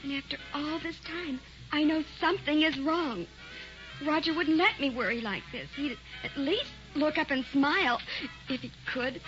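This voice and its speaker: A fearful young wife, voiced by a woman, pleading anxiously with someone. Her voice is high and the sound is dull and old-time, with faint music underneath. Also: moderate pace, natural voice. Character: frightened young wife of buried man. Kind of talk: 1940s radio drama acting.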